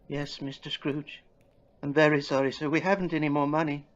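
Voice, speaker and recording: A man voicing a poor married woman, quiet, meek and respectful, in a Victorian audio play. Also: a little high, flat delivery, fairly quick, slight background noise, natural voice. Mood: apologetic. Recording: dull lo-fi recording.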